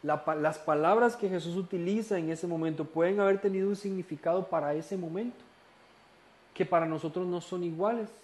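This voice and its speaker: A man with a Costa Rican accent and a fairly high voice teaches a recorded Bible study course. He explains calmly, asks rhetorical questions and sometimes restarts a word. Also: brisk. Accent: Costa Rican Spanish. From Costa Rica.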